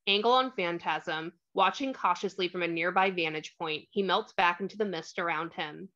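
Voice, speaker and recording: Low-voiced woman narrating a screenplay. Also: moderate pace, a bit bright, lo-fi sound. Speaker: stage directions narrator. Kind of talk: scripted narration, screenplay table read.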